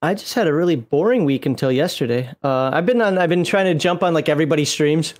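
Rambling, laughing man, slightly high voice. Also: fast. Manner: rambling, laughing, many fillers. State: voice a bit worn from talking. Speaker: streamer co-host. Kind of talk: casual live-stream chat.

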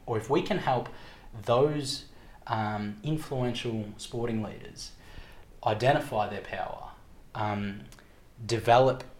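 A man in his twenties with an Australian accent, telling his story casually as an interview guest, reflective and halting as he searches for words. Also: fairly low pitch. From Victoria, Australia. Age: 20s. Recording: echoey room, background noise.

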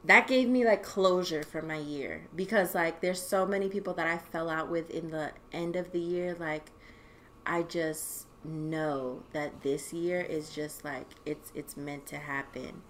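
A young woman co-hosting a podcast, talking casually in an animated, rambling way with plenty of swearing. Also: low-pitched, measured pace, slight background noise. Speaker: podcast co-host. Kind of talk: casual chat.

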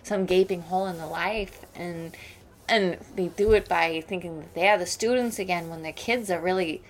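A woman's voice: lowish voice, normal speed, slight background noise, close to an everyday voice, rough recording. A woman playing a snobbish, judgmental wealthy school mother in an improvised radio play, rambling on with boastful opinions. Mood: condescending.

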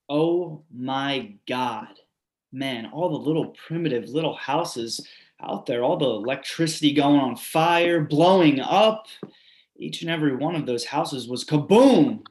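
A man's voice: on the high side, expressive, a little slow, a little mellow. Brief: male reader as an earthy storm witness, repeats himself for emphasis, echoey hall sound. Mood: shaken. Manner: earthy, emphatic, swears then apologizes. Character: shaken longtime male resident recalling storm fires. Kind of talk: readers theatre monologue.

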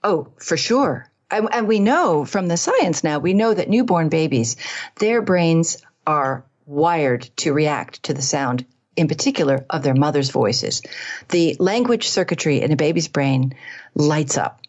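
A woman with a lowish voice talks as a guest author in an interview, her sound a little dull and band-limited. She speaks in an animated, conversational way with a self-deprecating touch. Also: measured pace. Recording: slightly dull, band-limited remote line.

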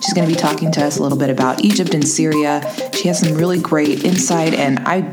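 A woman with a low voice hosts a podcast in a bubbly, upbeat way, sprinkling in fillers. Loud music plays behind her throughout. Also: steady tone, fast talker. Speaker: podcast co-host. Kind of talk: scripted intro and casual chat. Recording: music playing behind the intro.

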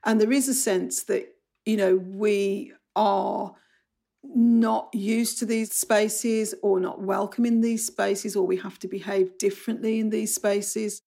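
Brummie woman, bit husky and gravelly, low mellow tone, talks straight and a little sarcastic. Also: fairly even intonation, takes it slowly. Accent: Birmingham. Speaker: publishing professional who gives talks. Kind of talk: interview.

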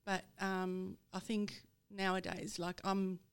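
A woman's voice: medium pitch, flat delivery, takes it slowly, smooth. A woman from the Netherlands, a guest talking openly about personal matters in a candid interview. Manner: candid, with light laughs and fillers.

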